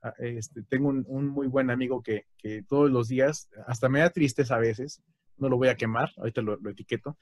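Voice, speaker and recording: A man's voice: mid-range voice, steady tone, a little fast, no room sound. A man with a Mexican Spanish accent hosting a casual conversation, chatty, with frequent fillers and tag questions. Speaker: host.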